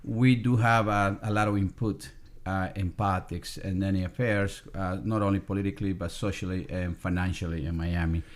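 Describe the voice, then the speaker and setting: A man with a low voice and a Salvadoran accent speaks as a guest in a relaxed podcast interview. His English comes as a second language. He pauses often and fills the gaps with "um" and "uh". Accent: Salvadoran Spanish. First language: Spanish. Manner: halting, many fillers and restarts. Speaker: restaurant owner guest. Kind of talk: casual interview.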